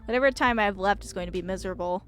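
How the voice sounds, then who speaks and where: A woman's voice: mid-range voice, rapid-fire, a bit bright, clear, resonant tone, natural voice. Woman voicing a gloomy, sickly young painter guy. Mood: morbid, calm.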